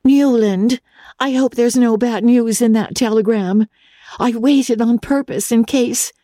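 A woman voicing an anxious, excitable younger sister in a storybook reading, breathless, exclamatory and fussing. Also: fairly high pitch, unhurried, no room sound, studio quality, pitched above the performer's own voice, close to an everyday voice.

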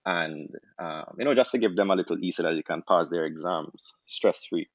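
A young man with a Trinidadian accent, a doctor turned chef, telling his story in a relaxed interview with many restarts. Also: deep voice, normal speed, dry recording, lo-fi sound. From Trinidad and Tobago. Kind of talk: casual interview answers.

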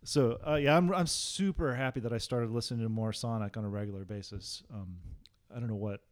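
A man co-hosting a casual podcast, talking in a halting, self-deprecating way. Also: medium pitch. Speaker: podcast co-host.

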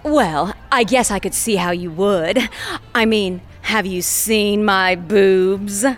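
A woman's voice: mid-range voice, slow pace, natural voice. A woman playing a brash flirty bimbo. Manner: brash, flirty, self-assured. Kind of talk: audio drama acting.

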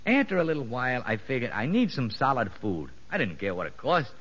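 An actor playing a boastful, streetwise convict safecracker in a 1950s radio drama, talking in ungrammatical slang dressed up with pompous fancy words. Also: male voice, mid-range voice, very animated, fairly quick, fairly bright tone. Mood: boastful, relishing. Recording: dull vintage 1950s radio recording.

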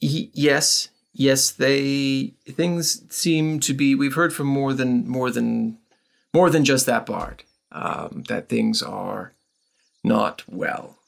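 A man running a tabletop game as game master, narrating slowly and haltingly with many fillers. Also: mid-range voice, gravelly.

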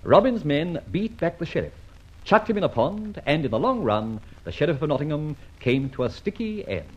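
Conceited adventurer, radio drama; highish husky voice, flippant, mock-innocent polish. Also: male voice, big pitch swings, moderate pace. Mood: breezy. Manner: suave, teasing, mock-innocent. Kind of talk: mid-century radio drama acting with narration. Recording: dull old radio recording.

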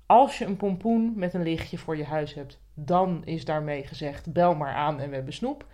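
Lowish-voiced woman in casual chat. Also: normal speed, warm tone. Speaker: podcast co-host.

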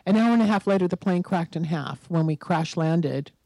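A woman in her sixties from the United States, a guest telling her story at length in an interview, emphatic and talkative, her voice hoarse from a virus. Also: fairly low pitch, normal speed, warm tone, no echo, poor audio. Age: 60s. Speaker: guest psychic.

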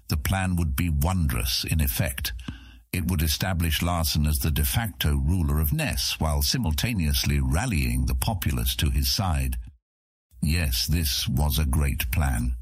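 A man's voice: extremely low pitch, husky, no echo, clean studio sound. A man reading scripted epic fantasy narration for an audiobook.

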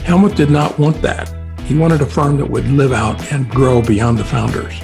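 Gravelly older man telling stories. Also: mid-range voice, fairly even intonation, moderate pace. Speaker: podcast co-host, former architecture executive. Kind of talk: conversational storytelling. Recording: voice clips over music bed.

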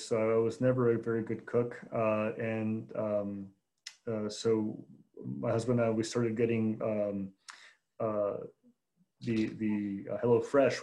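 A man in his forties from Chicago, a journalist answering interview questions in a measured way, with many fillers and restarts. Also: mid-range voice, mellow, low-quality recording. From Chicago, United States. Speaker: journalist guest.

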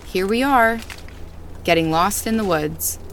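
A woman's voice: medium pitch, lively intonation, measured pace, a bit bright, a little hiss or hum. An actress playing a skeptical female companion in an audio drama, wry and questioning in natural dialogue.

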